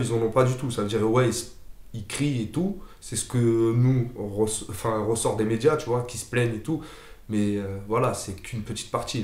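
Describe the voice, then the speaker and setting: Young man chatting casually, low voice. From France. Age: young adult. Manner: casual, hesitant, many fillers. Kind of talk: casual testimony. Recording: echoey room.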